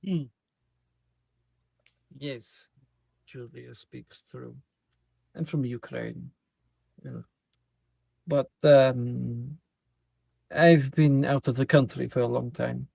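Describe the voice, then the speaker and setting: A game master narrating a tabletop role-play session, casual and quick with asides. The voice is close and dry, with no room around it. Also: mid-range voice, fairly even intonation, gravelly, a little mellow, breathy edge, dry recording.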